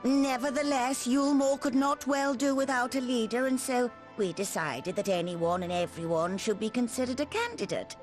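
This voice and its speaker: An actress playing a genteel, devoted middle-aged wife in a video game, with a refined, formal and emotive manner. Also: female voice, mid-range voice, natural voice. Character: genteel wealthy wife, anxious and devoted, theatrical.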